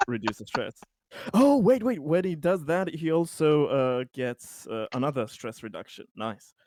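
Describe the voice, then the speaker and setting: A man's voice: a little high, big pitch swings, normal speed, a little mellow, slight background noise. A man talking casually as a player in a tabletop roleplaying game. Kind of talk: casual in-character game talk.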